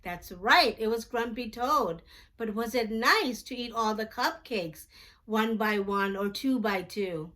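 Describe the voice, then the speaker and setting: A woman reading a picture book aloud to children slowly, in a sing-song, emphatic storytelling manner. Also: a little high, fairly bright tone.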